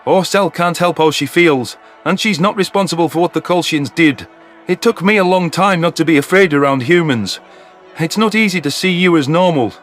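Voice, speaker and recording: A man voicing a remorseful older spiny alien, earnest and measured, gently defending others. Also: fairly high pitch, soft background music, natural voice. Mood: earnest, regretful.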